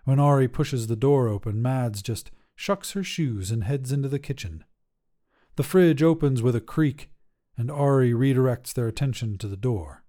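A man's voice: mid-range voice, expressive, normal speed, mellow. A man narrating a scripted short story as a podcast reader, with a dramatic delivery.

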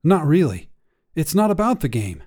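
A man's voice: fairly high pitch, very animated, normal speed, a little mellow, breathy edge, voice pushed up. A man doing a grinning, teasing partner. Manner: teasing, amused, lightly condescending. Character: playful know-it-all partner, grinning and teasing.

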